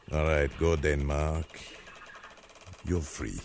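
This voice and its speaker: An actor in a 1950s radio drama playing a wry, world-weary slave master who muses deliberately. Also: male voice, very deep voice, gravelly, exaggerated voice.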